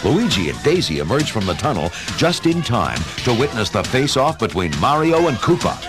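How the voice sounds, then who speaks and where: A man narrating an adventure story in a measured, dramatic scripted style. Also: medium pitch, big pitch swings. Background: dramatic music.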